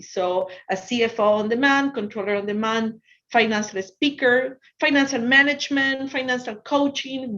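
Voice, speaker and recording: A woman's voice: fairly high pitch, a bit bright. A woman from Ecuador with an Ecuadorian Spanish accent, a native Spanish speaker, giving an encouraging motivational presentation to students as a guest speaker. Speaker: guest speaker, financial consultant. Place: online video presentation over Zoom. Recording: dull, lo-fi video call audio.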